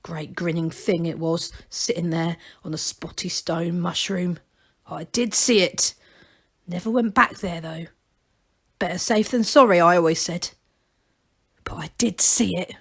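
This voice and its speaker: A woman's voice: on the low side, fairly even intonation, measured pace, fairly bright tone, slightly rough, heavily acted. Woman voicing a grumbling, stubborn old male gnome. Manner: rambling, insistent, rustic dialect grammar.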